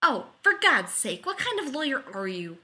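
A woman's voice: fairly high pitch, sing-song, unhurried, pushed and bright, exaggerated voice. A woman voicing an exasperated, sarcastic male genius who is easily outraged and dramatic, in a story reading. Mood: impatient outrage. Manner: exasperated, dramatic, prone to shrieking.

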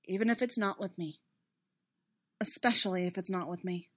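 A woman's voice: lowish voice, natural voice. Woman acting an anguished, self-loathing young man. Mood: miserable. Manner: halting, emphatic, desperate.

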